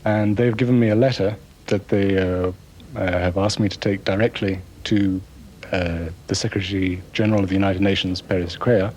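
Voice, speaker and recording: Older male interviewee, low dark tone, halting delivery full of pauses. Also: steady tone, a little hiss or hum, low-quality recording. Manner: halting, many hesitations. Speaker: released hostage. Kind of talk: press interview.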